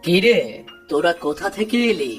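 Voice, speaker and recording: A woman's voice: lowish voice, lively intonation, normal speed, lightly acted. A woman voicing a weary, sorrowful poor village father in a cartoon, with music underneath. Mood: mildly concerned. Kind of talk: cartoon voice acting.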